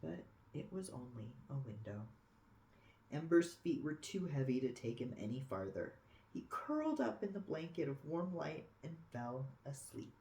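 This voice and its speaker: A storyteller reading a picture book aloud expressively for children, with dramatic pauses and cooing asides. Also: medium pitch, big pitch swings, mellow. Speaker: storybook reader. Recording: echoey room.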